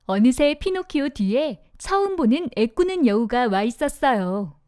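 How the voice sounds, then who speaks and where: A woman narrating a children's storybook in an expressive, sing-song manner. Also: sits high, unhurried, no echo, studio quality.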